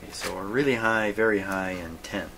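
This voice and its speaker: A man hosting a hands-on repair demonstration, thinking aloud in a halting, muttering way as he works. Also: lowish voice, a bit bright, slight background noise. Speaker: host of a radio repair video.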